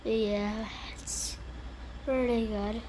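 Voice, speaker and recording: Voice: mid-range voice, level tone, very slow. A casual, rambling amateur vlogger speaking informally inside a house, with the voice of a woman or youngster as heard. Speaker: amateur video creator. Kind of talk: casual handheld vlog with improvised skit. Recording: noisy amateur home recording.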